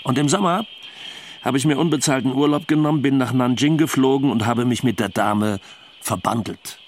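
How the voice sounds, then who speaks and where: A man's voice: mid-range voice, normal speed, a little hiss or hum, lightly acted. A male actor as a talkative Western doctor living abroad, in a mid-range voice. He is dry, self-ironic and colloquial, chatting through a radio play monologue. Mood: dry, reflective. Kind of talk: documentary radio play monologue, conversational storytelling.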